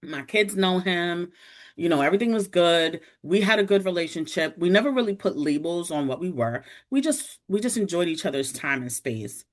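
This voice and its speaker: A woman's voice: on the low side, normal speed. A woman in her forties, a podcast guest, telling a personal story in a casual chat and acting out what people said. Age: 40s. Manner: animated, acts out quoted voices.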